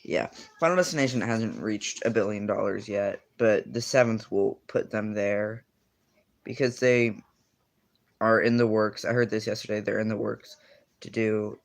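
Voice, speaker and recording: A man's voice: lowish voice, unhurried, gravelly. A teenage boy in casual livestream chat, answering viewers and rambling with lots of fillers and self-corrections. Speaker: streamer.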